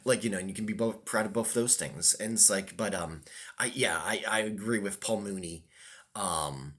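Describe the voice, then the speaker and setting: A man's voice: on the low side, moderate pace, fairly bright tone. A man, talking to the camera in a loose, rambling monologue, full of ums and restarts. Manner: hesitant, many ums and restarts. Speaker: vlogger.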